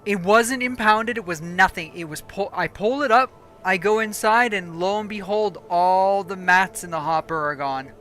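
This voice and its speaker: Rambling woman streamer, voice going hoarse. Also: mid-range voice, normal speed, hardly any pauses, fairly bright tone, soft background music. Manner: rambling, corrects herself mid-thought. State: losing her voice. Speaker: game streamer. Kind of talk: casual live gameplay chat.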